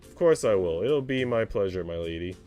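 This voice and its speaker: A man voicing a calm, teasing grown-up in a visual novel, gently firm and playful with a child. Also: fairly low pitch, a little fast, soft background music, voice pushed down, close to an everyday voice. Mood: gallant.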